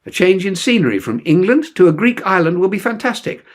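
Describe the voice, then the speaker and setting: Insistent mother as a man reads her, raised pitch, decisive, no-nonsense, storybook narration. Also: very animated, fairly quick. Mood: firm insistence. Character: firm mother, brisk and insistent.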